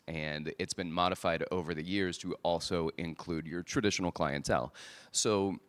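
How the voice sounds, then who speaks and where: A man hosting a podcast live at a conference, chatting energetically with the audience from a handheld mic. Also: low-pitched. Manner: energetic, chatty presenter style with quick asides. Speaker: podcast host. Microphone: handheld microphone.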